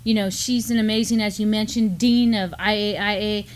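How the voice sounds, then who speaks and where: A woman's voice: mid-range voice, fairly even intonation, moderate pace. A woman in her fifties speaking conversationally as co-host of a news discussion. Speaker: co-host of a Native news live stream. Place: indoor studio at a desk with a backdrop.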